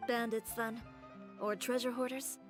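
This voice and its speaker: A woman voicing a composed, sharp-tongued young fashion designer in a video game, speaking with dry assurance. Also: on the high side, level tone, measured pace, lightly acted. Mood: calm, brisk. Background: game music.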